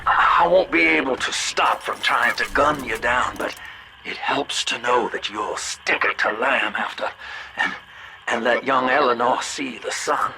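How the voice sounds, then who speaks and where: A man's voice: medium pitch, measured pace, background noise, lightly acted. An actor playing a slick, world-weary older businessman in a video game, with a folksy, familiar drawl. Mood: pained, resigned.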